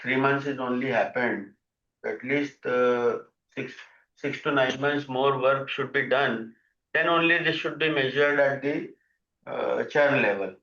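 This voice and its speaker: Bright-voiced male executive on echoey call. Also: mid-range voice, a little slow. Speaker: company executive answering investor questions. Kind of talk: unscripted earnings call answers. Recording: echoey, muffled conference call audio.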